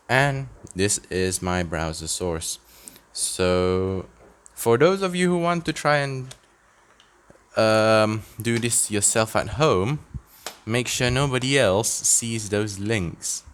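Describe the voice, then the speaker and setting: Slow-talking man with a lowish voice. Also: animated, a bit bright, smooth. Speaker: tutorial presenter, church tech volunteer. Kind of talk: informal step-by-step software tutorial. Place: indoors at a desk.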